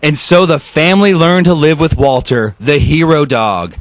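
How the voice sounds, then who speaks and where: High-pitched man reading a story aloud. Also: moderate pace, no echo. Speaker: radio host. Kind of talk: animated storybook read-aloud with off-the-cuff asides.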